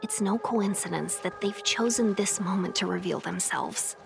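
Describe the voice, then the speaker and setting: An actress voicing a poised, knowledgeable guide in a video game, formal, composed and courteous. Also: female voice, mid-range voice, moderate pace, creaky. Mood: concerned, serious. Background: prominent music.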